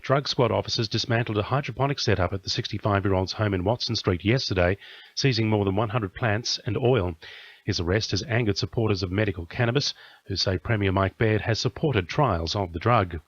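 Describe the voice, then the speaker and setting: A man's voice: on the low side, fairly quick, no room sound. A man with an Australian accent reading a scripted radio news bulletin. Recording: dull lo-fi radio audio.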